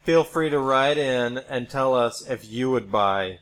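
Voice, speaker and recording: A man's voice: mid-range voice, measured pace, fairly bright tone. A man co-hosting a podcast in casual conversation, telling anecdotes in a wry way. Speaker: podcast co-host. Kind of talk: casual chat. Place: small room, podcast setup. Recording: noisy podcast recording.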